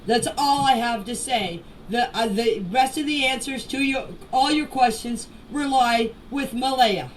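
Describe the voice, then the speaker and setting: A woman's voice: on the high side, measured pace, few pauses. A woman giving an unscripted personal statement in voice messages, plainspoken and emphatic, repeating phrases for stress. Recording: noisy voice message, distant from mic.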